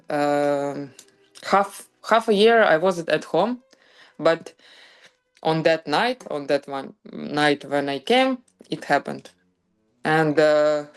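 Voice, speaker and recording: A woman's voice: sits low, clean tone. A woman from Ukraine with a Ukrainian accent, a professional beach volleyball player telling her story plainly in an interview. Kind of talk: recorded interview.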